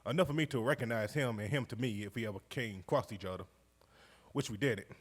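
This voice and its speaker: An actor playing a jovial, warmhearted World War II veteran from Georgia with a Southern accent, spinning his memories in a folksy, exuberant way on stage. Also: mid-range voice, quick pace, lightly acted. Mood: reminiscing. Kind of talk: live stage storytelling monologue, conversational. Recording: live stage recording in recreation hall.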